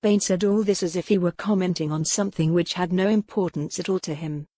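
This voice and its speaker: A woman narrating a novel in an even, measured storytelling manner, recorded close to a studio mic. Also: lowish voice, no echo. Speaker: audiobook narrator.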